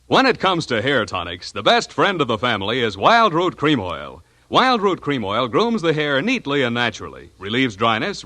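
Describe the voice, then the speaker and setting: A man's voice: mid-range voice, bright, forceful tone, no room sound. A man with an American accent announcing in polished, emphatic 1940s radio style.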